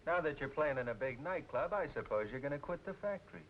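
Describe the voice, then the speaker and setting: An actor playing a cocky, surly working-class grown son in an old-time radio drama, young and blunt, with a needling, slangy delivery. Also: male voice, a little high, lively intonation, fast talker. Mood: surly, mocking. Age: young adult. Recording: tinny, hissy old radio recording.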